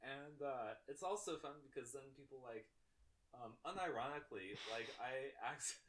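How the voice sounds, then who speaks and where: Relaxed young male co-host, mid pitch, teasing banter, slight background hiss. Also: moderate pace, low-quality recording. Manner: easygoing, teasing, short replies. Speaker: podcast co-host. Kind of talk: casual chat.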